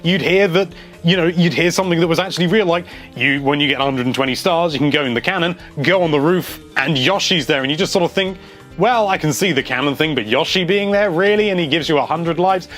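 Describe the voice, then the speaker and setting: A man's voice: on the high side, fast, fairly bright tone, soft background music. A man hosting a casual video, reading out viewer comments and chatting about them in a rambling, enthusiastic way.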